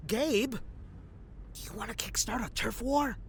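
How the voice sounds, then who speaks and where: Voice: mid-range voice, unhurried, vocal fry, slight background noise. A performer playing a wry, uneasy male undercover agent in an audio drama, sardonic and hesitant. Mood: nervous.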